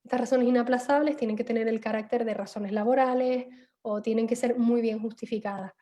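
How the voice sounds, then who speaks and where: A woman's voice: fairly high pitch, steady tone, a little fast, dark tone. A woman giving a panel talk on a video call, hesitant, with many fillers and restarts. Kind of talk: online seminar talk.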